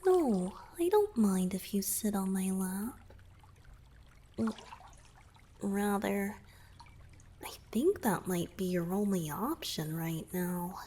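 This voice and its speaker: A woman voicing a young merman boyfriend in a romantic ASMR roleplay, shy, teasing and affectionate, speaking softly and hesitantly and often dropping into intimate whispers. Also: medium pitch, animated, slow, pauses often, a little hiss or hum. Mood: teasing, amused.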